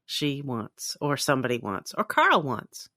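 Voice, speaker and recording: A woman's voice: rumbling low, huge pitch swings, a little slow, sharp tone, no room sound, studio quality. A woman co-hosting a podcast in casual conversation. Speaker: podcast co-host. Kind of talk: casual chat.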